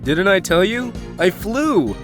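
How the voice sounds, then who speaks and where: A man's voice: high voice, big pitch swings, slow, heavily acted. A man playing a spacey, dreamy yak. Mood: matter-of-fact. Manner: drawling, dreamy, stoner-like. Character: spacey laid-back male yak witness. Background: background music.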